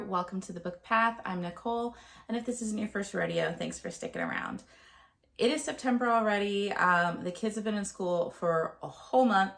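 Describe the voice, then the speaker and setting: A woman in her thirties talking casually to camera about her month's reading, a bit nasal and gravelly from a cold, with plenty of ums and uhs. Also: medium pitch, a bit bright. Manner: chatty, with frequent ums and uhs. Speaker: book vlogger.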